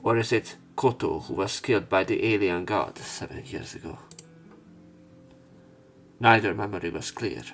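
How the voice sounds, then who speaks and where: A man with a fairly low voice reads a visual novel aloud as a let's play narrator, covering both narration and dialogue. Game music plays faintly behind him. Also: normal speed, vocal fry, long pauses, a bit bright.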